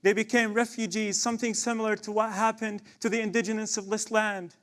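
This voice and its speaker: A man's voice: high voice, flat delivery, fairly quick, fairly bright tone. A young man from Palestine, performing impassioned spoken word poetry with rhetorical questions and repeated refrains. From Palestine, West Bank.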